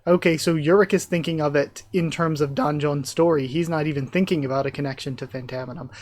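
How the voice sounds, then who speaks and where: A man's voice: a little high, steady tone, fast, a little mellow, no echo. A man giving a casual live reaction as host, thinking aloud and speculating as he goes. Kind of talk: live reaction, reading comic dialogue aloud with casual commentary.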